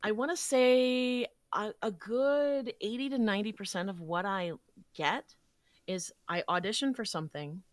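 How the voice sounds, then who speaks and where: A woman's voice: medium pitch, unhurried, no echo. A woman voice actor speaking as a podcast guest, recounting experiences in an encouraging, conversational way.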